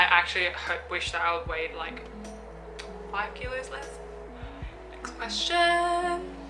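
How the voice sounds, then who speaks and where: Slow-talking young woman. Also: mid-range voice, pushed and bright, poor audio. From Australia. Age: 20s. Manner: chatty, thinking aloud with fillers. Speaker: YouTuber. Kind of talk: casual Q&A vlog. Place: room with an open window. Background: background music.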